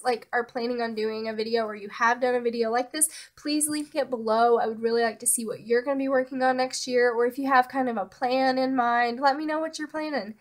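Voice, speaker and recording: A young woman with a somewhat high voice talks through her beauty products in a loose, casual way, trailing off into asides and fillers. Her nose sounds a little stuffy. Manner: relaxed, hesitant, many fillers and trailing asides. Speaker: beauty vlogger. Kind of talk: casual product talk to camera.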